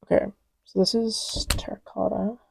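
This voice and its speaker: A woman playing a playful girlfriend in an intimate ASMR roleplay, rambling casually and giggly, often dropping to soft murmurs close to the microphone. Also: medium pitch, little pitch movement, moderate pace, gravelly, slight background noise. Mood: absorbed, chatty. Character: giggly, playful girlfriend testing markers on boyfriend's lap.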